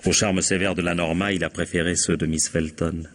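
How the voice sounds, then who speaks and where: An actor playing a shrewd, self-assured police inspector in a mystery radio play, with a measured, probing, quietly triumphant delivery. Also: male voice, low voice, little pitch movement, a little hiss or hum, lightly acted. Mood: accusing.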